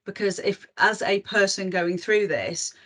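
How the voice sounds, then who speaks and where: A woman's voice: medium pitch, measured pace, a bit bright. Reflective woman leading a panel. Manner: reflective, ends points with tag questions. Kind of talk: casual panel discussion.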